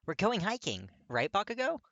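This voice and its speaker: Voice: mid-range voice, huge pitch swings, moderate pace, a bit bright, no room sound, lo-fi sound, voice pushed up. A narrator voicing a friendly, eager young man with a bouncy, casual manner in an audio story. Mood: cheerful, eager.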